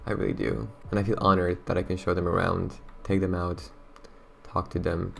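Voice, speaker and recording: Deep gravelly young man chatting casually. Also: level tone, moderate pace, a little hiss or hum. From Romania. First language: Romanian. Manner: chatty, rambling, says "bro" and "like" a lot. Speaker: gaming channel host. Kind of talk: casual gameplay commentary and chat.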